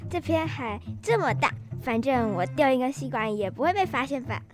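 Woman playing a very high, sneaky litterbug. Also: lively intonation, normal speed, soft background music. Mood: sly. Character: sneaky, careless human litterer.

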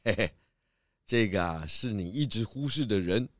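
A man playing a shrewd, confident pig detective in a children's radio play, explaining deductions in a deliberate, theatrical, gently lecturing way. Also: lowish voice, expressive, dry recording, studio quality, natural voice. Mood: triumphant.

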